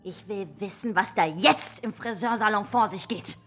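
A woman's voice: medium pitch, moderate pace, soft background music, natural voice. A woman playing an imperious, short-tempered villain boss in a children's radio play, theatrical, scornful and commanding. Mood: angry, impatient.

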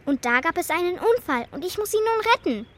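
A brave, insistent young girl in a children's radio drama. Her voice sits high and swings animatedly, with an earnest urgency. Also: big pitch swings, a little fast, fairly bright tone, exaggerated voice. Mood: urgent, determined. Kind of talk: children's radio play acting.

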